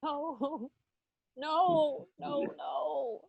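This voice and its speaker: A woman playing a bewildered, distraught mother in a radio drama, speaking in a breathless, halting way. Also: high voice, gravelly, darkish tone, no echo, muffled recording, full character voice.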